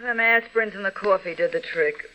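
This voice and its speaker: A woman's voice: mid-range voice, brisk, sharp tone, slight background noise, lightly acted, low-quality recording. An actress playing a slangy, good-hearted gangster's girlfriend with an American accent in an old-time radio drama. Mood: groggy, chatty. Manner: slangy, tough-talking, chummy. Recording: vintage radio broadcast sound.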